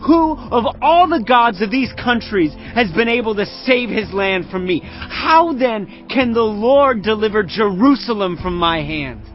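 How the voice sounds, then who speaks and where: An actor playing an arrogant Assyrian field commander who taunts a besieged city with loud, mocking declamation. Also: male voice, high-pitched, expressive, a little slow, hardly any pauses. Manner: boastful, mocking, declaiming loudly. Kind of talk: dramatized audio Bible acting. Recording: muffled lo-fi audio under music. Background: cinematic music.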